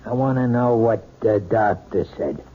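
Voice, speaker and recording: An actor playing an overbearing, curt husband in an old radio drama. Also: male voice, fairly low pitch, a little slow, close to an everyday voice. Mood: domineering. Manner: curt, domineering. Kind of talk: 1970s radio drama acting. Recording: dull old radio broadcast with faint hiss.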